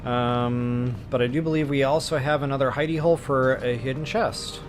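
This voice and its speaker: A man's voice: medium pitch, steady tone, moderate pace, music in the background. A man giving casual, slangy gameplay commentary as the host of a walkthrough. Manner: slangy, informal. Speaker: let's play walkthrough host.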